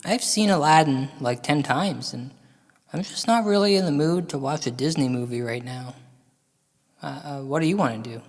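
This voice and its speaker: A man's voice: fairly high pitch, moderate pace. A man voicing a dead friend come back as a glum, naysaying pigeon, hesitant and reluctant, in a comedy monologue. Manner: hesitant, reluctant, full of ums. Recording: long hall reverb.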